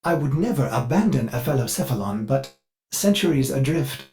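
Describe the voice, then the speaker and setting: An actor voicing a devoted ship computer AI in a video game, fussy, polite and eager with violent outbursts, through glitchy synthetic processing. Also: male voice, medium pitch, expressive, normal speed, a little mellow. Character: fussy, devoted ship computer AI, male, with flashes of bloodthirsty rage. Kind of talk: scripted video game character lines.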